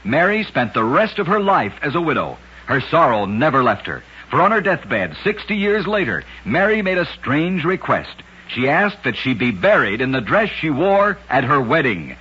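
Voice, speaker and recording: A man tells curious tales in the manner of an old-time radio narrator, dramatic and deliberate. His voice sits fairly high and is forceful, with a rough husky edge. It reads like scripted broadcast storytelling. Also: sing-song. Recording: dull old radio recording.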